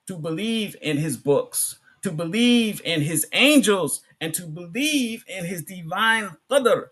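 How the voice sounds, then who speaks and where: Animated, higher-pitched man preaching. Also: husky. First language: English. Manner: emphatic, rhetorical questions and repetition for effect. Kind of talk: sermon, public religious lecture.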